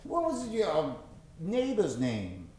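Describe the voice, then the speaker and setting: A male host works a live audience with theatrical, showman-like patter. His delivery swings widely in pitch, and his voice rings out in a very echoey theater as he runs an improv show. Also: huge pitch swings, takes it slowly, darkish tone, a little hiss or hum.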